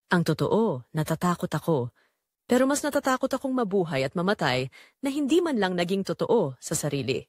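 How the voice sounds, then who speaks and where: A woman plays a discontented Filipino wife and mother in an audio drama, confessing her betrayal. Her voice sits a little low and moves expressively up and down, measured and reflective. The sound is dry and close. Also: no room sound. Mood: troubled, resolute. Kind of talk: first-person scripted audio drama narration.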